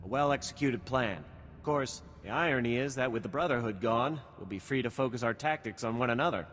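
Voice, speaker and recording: An actor playing a practical, decisive army lieutenant in a video game, in a businesslike briefing tone. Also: male voice, medium pitch, fairly quick, fairly bright tone, in a large hall, slight background noise, natural voice. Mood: satisfied, measured.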